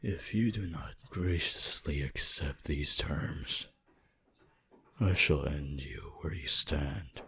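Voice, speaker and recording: Super deep breathy vampire, possessive n creepy seductive, slow asmr whispering. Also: male voice, animated, bright, forceful tone, slight background noise, exaggerated voice. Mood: threatening. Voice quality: breathy, husky, often whispering. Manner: slow, theatrical, archaic phrasing.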